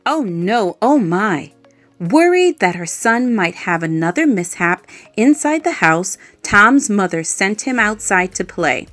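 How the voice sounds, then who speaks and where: A woman reading a children's story aloud, expressive and adding playful little asides to her listeners. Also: medium pitch. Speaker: storybook reader. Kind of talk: bedtime story read-aloud. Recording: faint background music.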